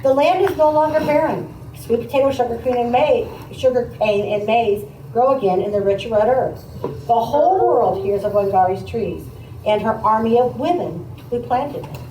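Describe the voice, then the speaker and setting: A woman teacher reading a picture book aloud, pausing to comment and put questions to her listeners in a conversational way. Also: a little high, mellow. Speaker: teacher demonstrating a read aloud to pupils. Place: echoey indoor classroom. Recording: roomy, distant mic, faint background noise.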